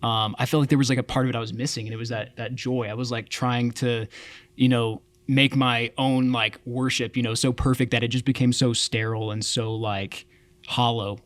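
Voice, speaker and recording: A man's voice: mid-range voice, level tone, fairly quick, no echo. A man who plays keys in a worship band, speaking thoughtfully and hesitantly, with many fillers. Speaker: worship band keyboard player. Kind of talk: casual interview chat.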